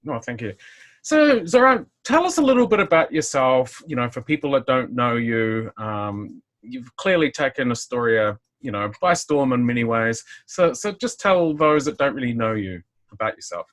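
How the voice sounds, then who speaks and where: A man's voice: mid-range voice, sing-song, normal speed, fairly bright tone. A man asking questions as the interviewer in a conversational interview. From outside the United States.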